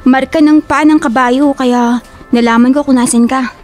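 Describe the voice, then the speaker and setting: A headstrong young woman played by a woman, fiercely loyal and teasing. Her voice is high and bright with youth, with faint music underneath. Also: fairly even intonation, normal speed, natural voice. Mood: caring. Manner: playful, headstrong, affectionate. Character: stubborn, devoted young woman.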